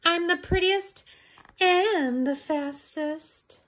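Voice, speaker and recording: A woman's voice: very high-pitched, drawn-out words, a bit bright, voice pushed up, full character voice. A woman voicing a vain, sassy little girl who preens and admires herself in a storybook read-aloud. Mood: smug. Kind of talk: children's picture book read-aloud.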